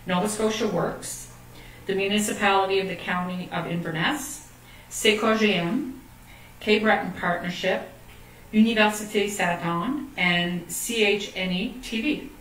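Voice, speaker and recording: A woman's voice: mid-range voice, a little slow, fairly bright tone. A middle-aged woman reading a formal scripted presentation aloud as an officer at a lectern. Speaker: economic development officer giving a presentation. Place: meeting room indoors, at a lectern. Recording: echoey room, distant mic, faint noise.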